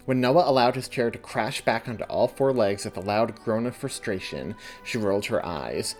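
A man reading his own story as a scripted audiobook narration, in an expressive, deliberate way. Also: lowish voice, soft background music.

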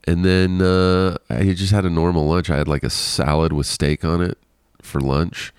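A man's voice: deep voice, level tone, moderate pace, clean tone, dry recording, clean studio sound. A man hosting a casual solo podcast, rambling and self-deprecating with frequent laughs, sounding congested and nasal. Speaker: podcast host.

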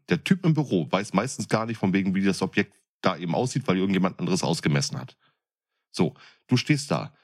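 A man speaking colloquial speech in a blunt, coarse, emphatic way, arguing his point in a casual podcast chat. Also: on the low side. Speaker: podcast co-host, experienced window fitter. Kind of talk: casual chat, opinionated argument.

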